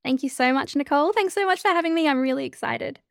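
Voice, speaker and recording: An energetic Australian woman chats as a podcast guest in a bubbly, quick-laughing way. Her voice sits a little high and has a gravelly rasp. The sound is dry, with no room echo. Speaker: guest, change leadership expert. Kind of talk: casual interview chat.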